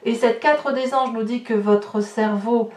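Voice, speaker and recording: In a clearly echoey room, a woman speaks a little distance from the microphone. Her voice sits on the high side as she gives tarot guidance in a reflective, halting way, often searching for the right word and rephrasing. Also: steady tone. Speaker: tarot reader hosting an online horoscope reading. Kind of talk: spontaneous explanatory tarot guidance. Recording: roomy echo, distant microphone.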